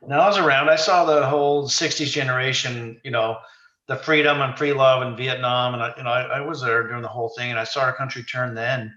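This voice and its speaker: Bright-voiced man in his sixties, echoey line. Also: medium pitch, steady tone, fairly quick, dull audio. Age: 60s. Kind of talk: casual chat.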